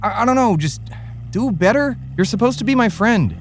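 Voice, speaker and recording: Exasperated, swearing man over loud music. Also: sits high, expressive, moderate pace. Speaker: co-host, co-star. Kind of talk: comedic audio drama.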